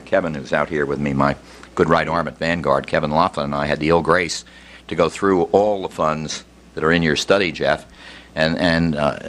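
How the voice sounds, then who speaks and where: Older male panelist, very low voice, discursive unscripted answers with frequent restarts, some background noise. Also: fairly bright tone. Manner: discursive, often restarting phrases. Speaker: panel speaker and former fund executive.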